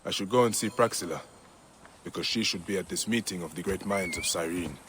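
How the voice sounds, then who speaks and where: An actor playing a calm, resolute ancient warrior protector with a measured, dry-witted way of talking in a video game. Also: male voice, low voice, noisy background, natural voice. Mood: calm, wry. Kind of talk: video game cutscene acting.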